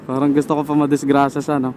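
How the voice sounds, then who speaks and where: A man's voice: medium pitch, completely flat delivery, moderate pace, darkish tone, slight background noise. A man with a Filipino accent casually muttering and swearing to himself as he vlogs from a motorcycle through a helmet intercom mic. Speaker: motorcycle vlogger. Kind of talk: casual motovlog chat while riding. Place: outdoors on a motorcycle in traffic. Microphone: helmet intercom microphone.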